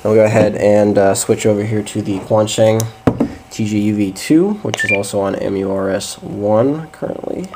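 A man's voice: lowish voice, normal speed. A man giving a casual, hesitant hands-on demonstration of radio gear. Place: small indoor room at a desk. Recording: noisy amateur camcorder or phone audio.

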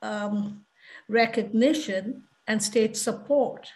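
A woman's voice: medium pitch, takes it slowly, a little mellow. A middle-aged woman asking a pointed, probing question in an online talk, often checking with "right?". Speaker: audience member.

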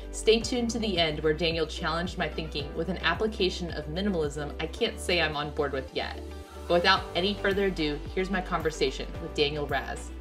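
An upbeat woman in her thirties hosts a podcast over a headset from her living room. Her voice sits on the low side, with faint music under her intro. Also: fairly bright tone. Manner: enthusiastic, upbeat. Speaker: podcast host. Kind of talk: casual interview, scripted intro.

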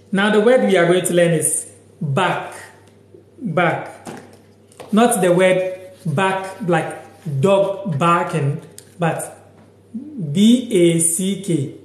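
A young man from Ghana teaching a live language lesson on his phone, slowly repeating words and sentences and reading out viewer comments. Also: on the high side, reverberant. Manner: slow, repeats each word and sentence several times. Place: small room indoors, sitting on a sofa.

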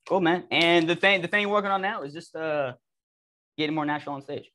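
A man's voice: a little high, quick pace, sharp tone. A young man hosting a casual interview podcast from his room, upbeat and friendly with hesitant fillers. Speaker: podcast host.

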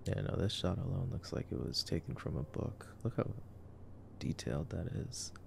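Very gravelly, low-voiced young man. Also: expressive, normal speed, background noise. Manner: sarcastic, slangy, low-key. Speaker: ASMR roleplay performer. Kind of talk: ASMR boyfriend roleplay, casual movie commentary.

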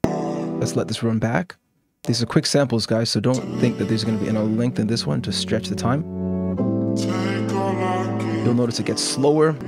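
A man's voice: mid-range voice, moderate pace, long pauses. Man giving a casual tutorial over music. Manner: informal, step-by-step, often checking in with okay and right. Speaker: music production tutorial host. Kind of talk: casual software tutorial, explaining while demonstrating. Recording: music and drum samples playing behind. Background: instrumental music and beat loops.

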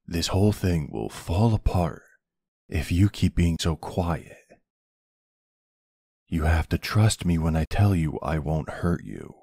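A man playing a tender but commanding mafia boss husband in an ASMR roleplay, with a low, gravelly, husky voice. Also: darkish tone, no room sound, full character voice. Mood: tender, reassuring.

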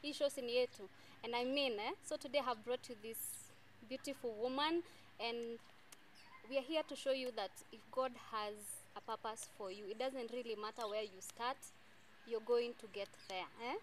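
A Kenyan woman hosts her show with a fairly high, upbeat voice, encouraging her guest and slipping easily into Swahili. She is recorded outdoors on a lapel mic, with faint outdoor noise in the background. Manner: upbeat, encouraging, quick affirmations, mixes English and Swahili. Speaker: talk show host. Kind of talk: hosted interview. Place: outdoor garden by a hedge.

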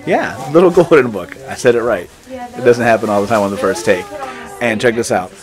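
A man's voice: on the low side, animated, a little fast. A man in his forties hosting a casual travel vlog, talking upbeat and enthusiastic into a handheld camera at arm's length, with music behind. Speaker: vlog host. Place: outdoors at a market and indoors in shops.

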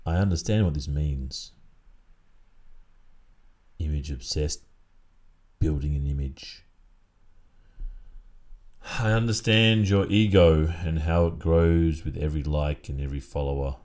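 An Australian man performing an ASMR roleplay as a stern, controlling boyfriend, speaking in a deliberate, measured way. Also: extremely low pitch, a little mellow. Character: stern, controlling, possessive boyfriend lecturing his partner.

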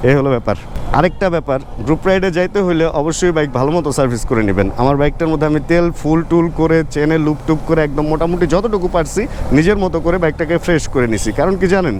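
A Bangladeshi man chatting casually to viewers as he rides a motorbike, mixing English words into his speech. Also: on the high side. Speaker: motovlogger. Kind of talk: casual vlog chat while riding. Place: outdoors on a motorbike in city traffic. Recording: loud road and traffic noise.

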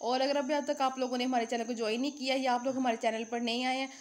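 A young woman from Pakistan hosts a casual home vlog, her voice pitched high and bright. She chats on freely, addressing viewers directly and filling pauses with small words. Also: level tone. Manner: chatty, talks straight to viewers, many fillers. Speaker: channel host.

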